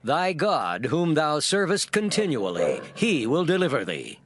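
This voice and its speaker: A man's voice: fairly high pitch, huge pitch swings, a little slow, crowd noise. An actor playing a solemn, anguished king in a dramatized scripture reading, speaking in a grand, declamatory way. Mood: anxious hope. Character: King Darius, solemn ruler anguished over his servant.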